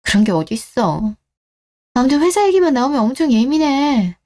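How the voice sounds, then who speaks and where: A woman's voice: high-pitched, sing-song, moderate pace, dark tone, pitched above the performer's own voice. A woman playing an earnest, hurt wife and daughter in a scripted radio drama. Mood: defensive, worried.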